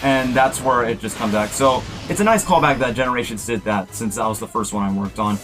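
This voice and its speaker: A man hosting a casual reaction commentary in an excitable manner, as an amateur voice actor. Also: mid-range voice, a little fast, faint music behind, low-quality recording. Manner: excitable, breaks into quoted character lines.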